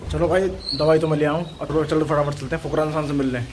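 A man's voice: a little high, little pitch movement, fast talker. A young man from India talking casually to his viewers as a vlogger, filming on a phone outdoors on a busy city street. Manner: chatty, addresses viewers as brother; shouts over a pushing crowd. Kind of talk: casual vlog chat. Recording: loud crowd and street noise.

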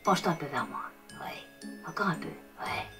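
A woman's voice: deep voice, expressive, normal speed, recorded from a distance, music in the background. A woman voicing a bossy, nosy puppet in a puppet show, exclaiming and coaxing. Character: bossy, nosy hand puppet interrupting and showing off.

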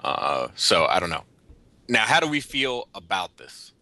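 A man's voice: low-pitched, big pitch swings, measured pace, creaky, bright, forceful tone. A man hosting a casual panel podcast, chatting about hobby news with some swearing. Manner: profane. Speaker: podcast host. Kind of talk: casual chat, panel discussion of toy news.

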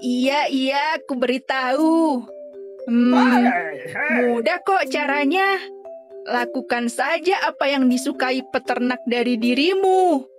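A woman's voice: sits high, slow, music in the background. A woman voicing a small, cheerful, self-assured pet dog who explains things confidently in a children's story. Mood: amused, helpful. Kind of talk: children's storybook character acting.

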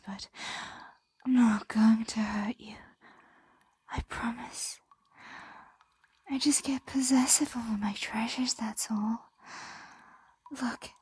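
A woman's voice: a little high, warm tone, husky, no echo, heavily acted. A woman playing an ancient, possessive, doting dragon in an ASMR roleplay, whispering breathily and soothingly, close to the microphone. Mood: reassuring, possessive. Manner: slow, soothing, possessive murmuring.

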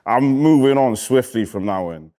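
A man in his thirties from Wales with a Cardiff accent, a rapper casually reading out comments in a relaxed, slangy way that often trails off quietly. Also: medium pitch, moderate pace, music in the background. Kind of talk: casual chat. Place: indoor studio with graffiti wall.

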